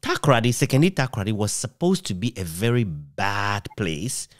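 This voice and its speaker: A middle-aged Ghanaian man interviewing conversationally, asking long, measured, reflective questions. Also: lowish voice, sing-song, no echo, studio quality. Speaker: interviewer, author. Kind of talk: conversational radio history interview.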